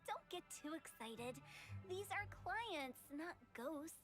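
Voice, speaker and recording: A woman's voice: high voice, big pitch swings, fairly bright tone, soft background music, exaggerated voice. A woman voicing a playful young female funeral director in a video game, teasing and morbid. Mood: sly. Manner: teasing, slow, sly delivery. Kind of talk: game voice acting.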